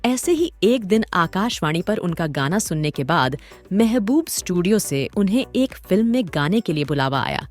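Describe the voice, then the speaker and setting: A woman's voice: fairly low pitch, big pitch swings, moderate pace. A woman hosting and narrating a scripted documentary story in a dramatic, literary storytelling manner. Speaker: host and narrator. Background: background music.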